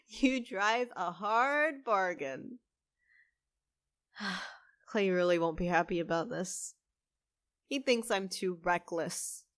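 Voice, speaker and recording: Casting: actress for a secretive, easily flustered young half-fae woman in a fantasy audio drama; slow, halting, dry studio sound. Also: mid-range voice, lively intonation, no room sound. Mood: amused.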